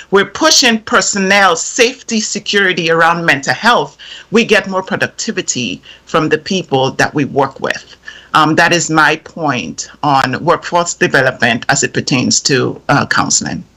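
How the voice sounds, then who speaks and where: Bright-voiced woman, a French native, testifying. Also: on the low side. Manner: direct, measured, deliberate. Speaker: invited expert testifying. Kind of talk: formal testimony.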